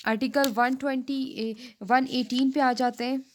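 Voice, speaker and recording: A woman with a Pakistani accent giving a law lecture, reading statutes aloud and explaining them in a halting, repetitive way. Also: high voice, fairly even intonation.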